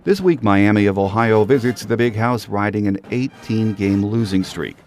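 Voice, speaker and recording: A man's voice: on the low side, moderate pace, a little mellow. A man, middle-aged or older, hosting a sports podcast and delivering prepared solo commentary. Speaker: podcast host.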